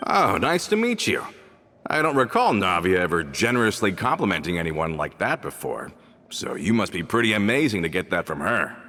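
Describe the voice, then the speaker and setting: A man's voice: sits low, big pitch swings, moderate pace, bright, forceful tone, exaggerated voice. A man voicing a loyal crew member just back home, earnest and incredulous in how he talks. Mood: curious. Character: man returning home to his old crew, loyal and shaken. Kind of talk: video game voice acting. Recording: game audio with music.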